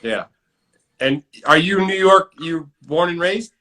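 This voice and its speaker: Bright, eager male interviewer. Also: a little high, expressive, slow. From Kansas. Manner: curious, eager questioning. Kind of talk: casual interview chat.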